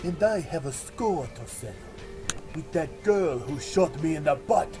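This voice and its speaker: A woman's voice: low voice, expressive, a little slow, over music. A woman voicing a vengeful male fish mutant villain in a cartoon fan drama.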